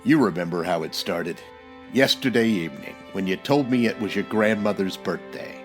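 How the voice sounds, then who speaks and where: A man's voice: sits low, moderate pace, faint music behind, lightly acted. A man playing a wry, world-weary private detective in an old-time radio parody, with clipped, sardonic tough-guy delivery. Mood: wry narrating. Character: hardboiled male private eye, wry, brusque, world-weary.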